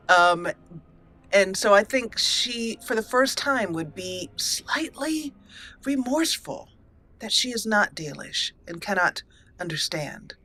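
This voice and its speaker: A woman with a bright, clear tone gives casual commentary over her game. She hesitates often and corrects herself as she thinks aloud. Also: medium pitch, lively intonation, slow pace, pauses often. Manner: chatty, hesitant, fillers and self-corrections. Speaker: let's play host. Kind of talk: casual role-play commentary.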